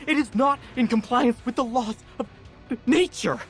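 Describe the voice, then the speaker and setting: A man's voice: extremely high pitch, moderate pace, soft background music. A man voice actor playing a shaken but protective young man in a video game horror scene, with urgent, dramatic delivery. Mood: horrified disbelief.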